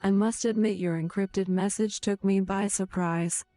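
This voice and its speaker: Stern, skeptical female starship commander, acted by a woman, dark soft tone, close dry recording, light music. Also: medium pitch, steady tone, unhurried, no room sound, lightly acted. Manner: controlled, skeptical, formal. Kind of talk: dramatic audio comic acting.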